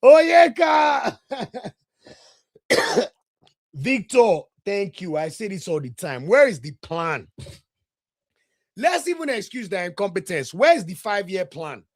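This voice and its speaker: A young man with a Nigerian English accent hosts a football show in a casual, heated way. He rants at length, answers viewer comments and drops into pidgin now and then. His voice sits fairly high for a man. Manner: passionate, ranting, switches into pidgin.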